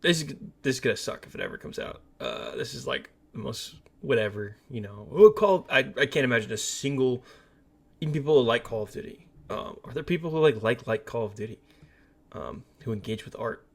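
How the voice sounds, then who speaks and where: A man's voice: mid-range voice, sing-song, a little fast, gravelly, slightly rough. A man co-hosting a casual movie and game podcast, opinionated and emphatic, repeating words for stress. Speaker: podcast co-host.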